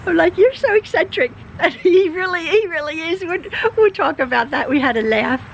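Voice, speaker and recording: An older woman recording a casual vlog in her backyard, speaking with a hoarse, tired voice as she rambles with many fillers and laughs. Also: animated, normal speed, a little hiss or hum. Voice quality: high voice. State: hoarse, tired after illness. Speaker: channel host. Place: outdoors in a backyard. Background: birdsong.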